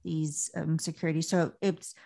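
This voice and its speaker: A woman's voice: low voice, flat delivery, normal speed, vocal fry, dark tone. A woman hosting an interview, friendly and affirming, with frequent short encouragements. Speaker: podcast host.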